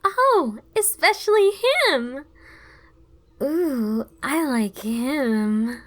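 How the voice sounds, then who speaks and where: Very high-pitched, giggly flirtatious nymph. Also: female voice, lively intonation, extremely slow, fairly bright tone, exaggerated voice. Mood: smitten. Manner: coy, cooing, swooning. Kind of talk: fantasy audio drama acting.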